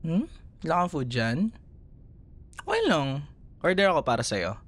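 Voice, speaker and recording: A man's voice: a little high, wildly swooping pitch, unhurried, exaggerated voice. A man with a Filipino accent playing an affectionate, playful young boyfriend comforting a scared partner in an intimate ASMR roleplay. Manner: teasing, tender, chuckles often. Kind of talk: ASMR roleplay, intimate one-sided dialogue.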